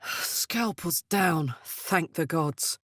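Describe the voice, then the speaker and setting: A woman's voice: low voice, expressive, very slow, fairly bright tone, dry recording, exaggerated voice. A tough, big-hearted warrior woman with devil's blood for a fantasy video game. She talks bluntly and earthily and takes her time over the words. The sound is close and dry. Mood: casual, wry. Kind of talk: video game character voice acting.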